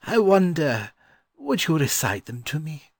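A man playing a gentle, polite and weary learned gentleman in a dramatized children's audiobook, with a courteous, scholarly manner. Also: fairly high pitch, very animated, measured pace, breathy edge, no room sound, clean studio sound, full character voice. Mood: polite, curious.

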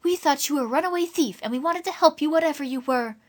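A woman's voice: high voice, quick pace, voice pushed up, close to an everyday voice. A woman voicing a young girl who talks in coaxing, persuading tones.

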